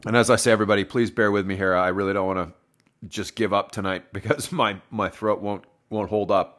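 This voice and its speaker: Solo sports podcast host, man, lowish voice, hoarse and wheezy, rambling, talks to his listeners. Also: sharp tone. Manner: rambling, self-correcting, addresses listeners as folks. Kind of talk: solo game review.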